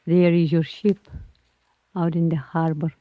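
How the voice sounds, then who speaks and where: An actress playing a mysterious foreign woman in an old radio adventure, bold and flattering, speaking in formal, exotic phrases. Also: on the low side, a little slow, dry recording, natural voice. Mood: tense, watchful. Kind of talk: 1940s-50s radio adventure acting. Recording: muffled old-time radio recording.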